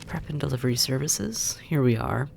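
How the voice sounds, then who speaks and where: An actor playing a sardonic, possessive demon captor in an intimate audio roleplay, musing and teasing, often dropping into breathy murmurs. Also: mid-range voice, lively intonation, normal speed, a little hiss or hum. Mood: exasperated, threatening. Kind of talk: intimate scripted audio roleplay addressed to listener.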